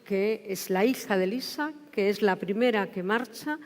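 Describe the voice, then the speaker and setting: A woman's voice: mid-range voice, lively intonation, measured pace. A woman presents at a literary event in a mid-range voice. She talks conversationally, doubling back to repeat or fix words. A long hall reverb surrounds her. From Spain. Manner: repeats and corrects herself. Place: large theatre, indoors.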